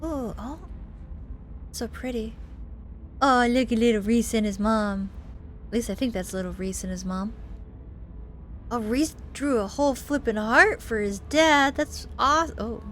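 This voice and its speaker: A woman's voice: fairly high pitch, measured pace, long pauses, a bit bright, a little hiss or hum. A woman doing let's play commentary, reading a visual novel aloud and reacting expressively. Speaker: gaming video host. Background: game music.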